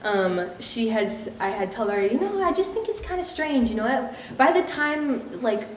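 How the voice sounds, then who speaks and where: A woman's voice: fairly high pitch, sing-song, moderate pace, slight background noise. An American woman in her thirties giving a chatty personal testimony at church, full of fillers and quoted dialogue. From United States. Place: church hall. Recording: echoey room, mic at a distance.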